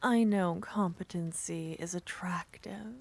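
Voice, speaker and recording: A woman voicing a seductive, possessive witch, speaking softly in half whispers with a teasing manner in an ASMR roleplay. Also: on the low side, slow pace, gravelly.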